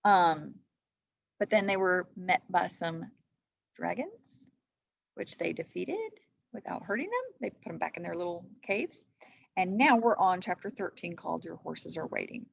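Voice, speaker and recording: Woman teacher for storybook narration, gravelly edge, dry but slightly dull recording. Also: fairly low pitch, lively intonation, a little slow, no room sound. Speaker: teacher reading aloud. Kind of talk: storybook read-aloud narration.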